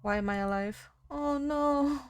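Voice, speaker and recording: A woman doing a let's play, reading a visual novel aloud with expressive reactions. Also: high voice, measured pace, no echo. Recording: music behind.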